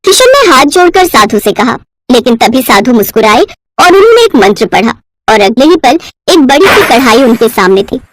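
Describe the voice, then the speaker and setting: A woman's voice: sits high, very animated, normal speed, slight background noise. A woman narrating a scripted children's story expressively, as a storyteller.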